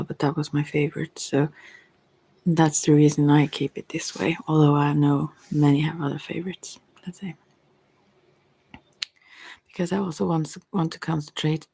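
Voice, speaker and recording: A woman who is a non-native English speaker, chatting in soft-spoken ASMR style, hesitant and meandering, often slipping into whispers close to the mic. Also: low voice, creaky, breathy edge, no room sound. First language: not English. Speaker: ASMR creator and tarot reader.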